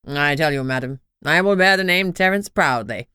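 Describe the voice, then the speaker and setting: A woman's voice: low-pitched, animated, normal speed, bright, forceful tone, clear, resonant tone, no echo, clean studio sound, voice pushed down. Woman putting on a posh pompous male talking crown. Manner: pompous, formal.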